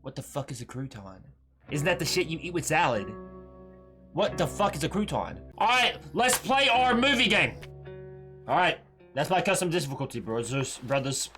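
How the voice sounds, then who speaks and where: A man's voice: on the high side, lively intonation, moderate pace, over music. A young man doing casual, profane game commentary as a streamer, slangy and repetitive, prone to ranting.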